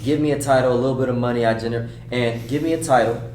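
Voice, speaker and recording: A man's voice: medium pitch, steady tone, fast, a little mellow, lightly acted. A man playing a defensive, sullen young adult son who tends to mutter, in a screenplay table read. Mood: insistent. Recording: echoey room, distant mic, faint hum.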